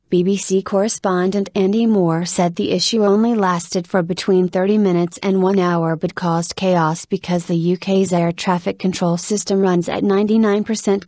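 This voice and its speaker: A woman's voice: medium pitch, darkish tone, no room sound, studio quality. A woman reading a written news article as formal scripted narration.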